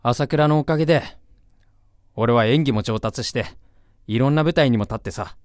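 An actor playing an insecure, earnest young college student in love, with hesitant, emotional boyish speech in a Japanese voice drama. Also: male voice, medium pitch, moderate pace, no room sound, studio quality, natural voice. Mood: proud, fond. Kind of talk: Japanese audio drama acting.

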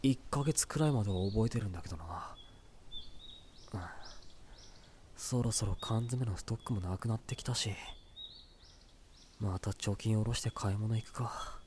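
A man's voice: fairly low pitch, moderate pace, long pauses, background noise, natural voice. A man voicing a weary young shut-in. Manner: muttering to himself, weary. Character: young man hiding alone for weeks. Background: background music.

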